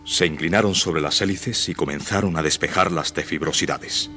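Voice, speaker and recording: A man's voice: deep voice, moderate pace, sharp tone, faint music behind. A man narrating a suspense radio play in a dramatic, scripted style.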